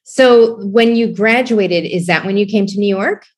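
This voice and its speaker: A woman's voice: mid-range voice, moderate pace, husky. Chatty woman asking interview questions. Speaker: interviewer host. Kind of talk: casual interview chat.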